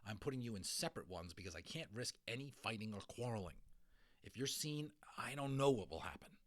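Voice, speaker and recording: A man's voice: lowish voice, lively intonation, fairly quick, no room sound, natural voice. A man voicing an earnest, cautious schoolboy in a serious, careful manner.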